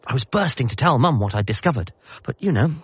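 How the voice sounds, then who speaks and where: An actor playing an eager, apologetic grown-up son in a radio comedy drama, in narration and dialogue. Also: male voice, mid-range voice, sing-song, quick pace, slightly rough, no room sound. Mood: reflective, wondering. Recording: slightly muffled, faint music behind.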